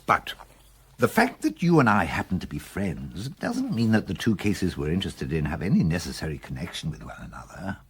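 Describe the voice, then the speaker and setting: Low-voiced, sceptical English detective. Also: male voice, huge pitch swings, fairly bright tone, a little hiss or hum, full character voice. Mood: sceptical, reasoning. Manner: measured, sceptical, reasoning. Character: sceptical, level-headed male detective friend of an aristocrat. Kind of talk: British radio drama acting.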